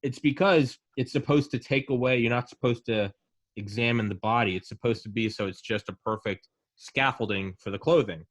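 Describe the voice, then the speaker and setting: A man in his thirties hosts a podcast in a casual, rambling style. His voice sits at a medium pitch, and he talks with enthusiasm, filling his speech with slang and asides. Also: fairly quick. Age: 30s. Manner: rambling and enthusiastic, many likes and mans. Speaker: podcast host.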